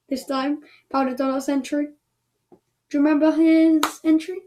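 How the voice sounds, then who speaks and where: A woman's voice: sits high, level tone, measured pace, mellow. A woman casually announcing results as the host of an online show, chatty and thinking aloud as she goes.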